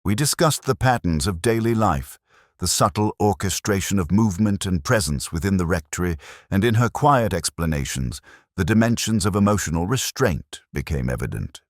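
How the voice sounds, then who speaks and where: A man playing a reserved, observant English police detective, narrating in the first person in a measured, formal, literary way. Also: low-pitched, animated, no echo, studio quality. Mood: measured, contemplative.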